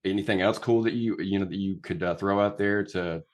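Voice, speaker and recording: A man's voice: sits low, fairly even intonation, fairly quick. A young man hosting a podcast in a casual, joking way, rambling with fillers. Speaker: podcast host.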